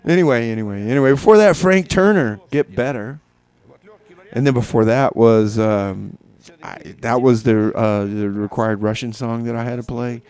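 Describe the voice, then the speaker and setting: A man hosting a radio show with casual, rambling DJ patter in a halting, tongue-in-cheek, mock-official manner. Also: medium pitch, darkish tone, smooth.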